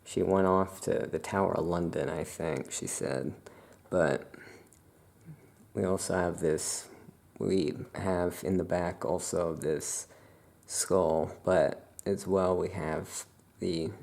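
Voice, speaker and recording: A soft-spoken ASMR performer role-playing a laid-back witch shopkeeper, musing casually and hesitantly to a customer. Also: deep voice, steady tone, slow pace, gravelly.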